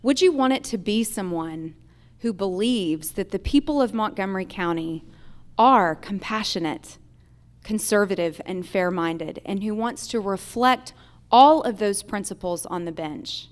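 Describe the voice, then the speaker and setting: American woman giving a rhetorical campaign speech. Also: mid-range voice. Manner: rhetorical, deliberate repeated questions. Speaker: judicial candidate. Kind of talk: political forum closing statement. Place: live in a theater.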